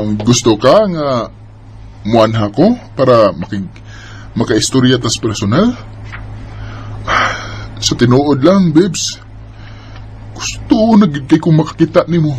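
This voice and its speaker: A man's voice: on the high side, darkish tone, slight background noise. An actor playing a lovestruck young man in a sentimental radio drama, hesitant and tender in his delivery. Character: lovestruck young man secretly in love with his best friend. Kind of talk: sentimental radio drama acting with inner narration. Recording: background music under the voice.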